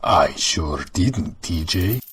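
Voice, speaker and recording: A man playing a cruel, laconic henchman in an audio drama, with a husky voice and unhurried delivery. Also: low voice, animated, a bit bright, a little hiss or hum, heavily acted. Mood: flat.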